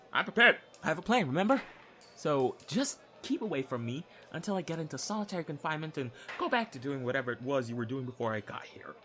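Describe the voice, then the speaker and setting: A smug young male convict played by a man in a comedy audio drama, taunting and theatrical. His voice is bright and fairly high, with lively swings in pitch. Music plays behind him. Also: full character voice. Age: young adult. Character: cocky, mouthy young male prisoner, taunting newcomer.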